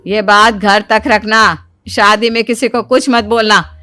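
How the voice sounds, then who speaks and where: A woman's voice: mid-range voice, fairly even intonation, unhurried, pushed and bright. A stern older mother-in-law from a family story, put on by a woman. She is reproving and exasperated, talking like someone who has had enough. Music sits behind the voice.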